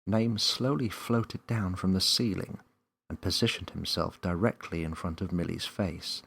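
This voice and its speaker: A man reading a children's story as narrator, in an expressive scripted storybook style. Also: sits low, a little slow, gravelly, husky.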